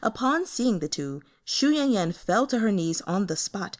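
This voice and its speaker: A woman's voice: medium pitch, sing-song, normal speed. A woman reading a fantasy novel aloud as scripted audiobook narration. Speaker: audiobook reader.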